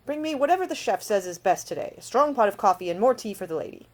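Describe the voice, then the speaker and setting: A woman plays a roguish gentleman of leisure in an audiobook. He is suave and cheerfully teasing, with formal phrasing and an amused drawl. The intonation swings in a lively way. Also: medium pitch, fast talker, voice pushed up, natural voice. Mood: cheery, courteous. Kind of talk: audiobook character dialogue.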